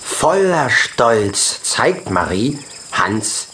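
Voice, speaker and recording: A man reads a children's audiobook with very wide swings of pitch. He takes his time over the story and tells it expressively. There is faint noise and insect ambience behind him. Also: big pitch swings, drawn-out words, bright, forceful tone. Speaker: audiobook narrator. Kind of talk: scripted children's story narration.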